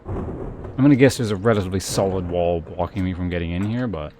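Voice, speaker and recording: A man's voice: low voice, brisk, background noise. A man casually commentating on a video game live, thinking aloud in a hesitant way as he chats with viewers.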